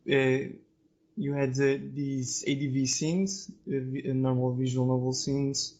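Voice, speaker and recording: A man giving opinions as a podcast guest in a halting, hesitant way, searching for words. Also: mid-range voice, completely flat delivery, darkish tone. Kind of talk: casual discussion of opinions. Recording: dull, lo-fi voice-chat audio.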